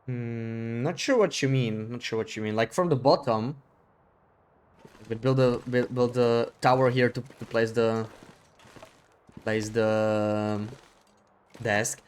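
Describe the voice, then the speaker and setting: A man streams a game and chats casually with his viewers. His voice is mid-pitched and easygoing. He thinks aloud into a desk mic and often restarts his sentences. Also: fairly even intonation, slow pace, pauses often, smooth. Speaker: live streamer. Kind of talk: casual gameplay chat, reading viewer comments.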